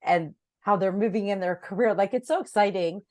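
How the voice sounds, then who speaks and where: A woman's voice: medium pitch, a little fast, darkish tone. A woman hosting a podcast in a chatty, effusive, enthusiastic manner. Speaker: podcast host.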